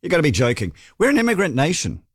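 A man's voice: fairly high pitch, huge pitch swings, fast, slightly rough, no room sound, studio quality. A man in his sixties with a New Zealand accent hosting talkback radio, chatty and opinionated as he reads out listener texts. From born in the UK, raised in New Zealand. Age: 60s. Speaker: radio talk host.

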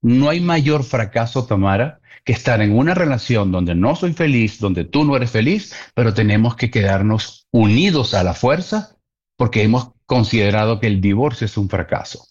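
A man's voice: mid-range voice, expressive, moderate pace, darkish tone, muffled recording. A man talking earnestly about relationships in a casual conversation, repeating key points for emphasis.

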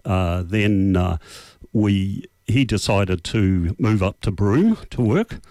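Older kiwi man, low voice, halting studio interview guest, dry sound. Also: lively intonation, warm tone, dry recording. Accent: New Zealand. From New Zealand. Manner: unhurried, hesitant with many uh fillers. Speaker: radio guest. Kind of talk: casual interview answers. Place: radio studio.

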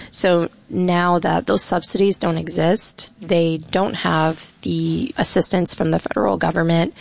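A woman's voice: fairly low pitch, creaky. A woman reporter on a radio interview, explaining policy in a matter-of-fact, informed way with many "you know"s. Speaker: reporter guest.

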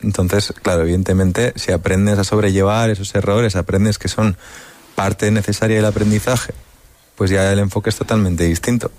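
A man's voice: fairly low pitch, fairly even intonation, normal speed, darkish tone. A man language teacher speaking reflectively on a panel. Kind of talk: panel discussion.

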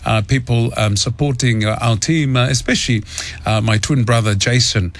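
Relaxed male radio host. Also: medium pitch, moderate pace, dry recording. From Tonga. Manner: relaxed, many fillers, mixes Tongan and English. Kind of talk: radio show chat.

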